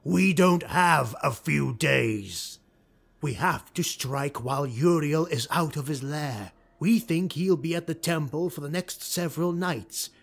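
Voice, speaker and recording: A man narrating an audiobook, voicing a ruthless, driven male dark elf lord in an urgent, commanding, clipped way. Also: on the high side, gravelly, breathy edge, full character voice.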